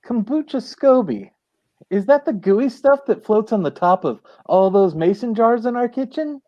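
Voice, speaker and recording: Woman as earnest talking hound superhero for radio comedy: sensible, lively intonation, slightly dull call audio. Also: fairly high pitch, normal speed, lightly acted. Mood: curious. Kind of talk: comedic radio play acting over video call. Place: dim room at home, on a video call.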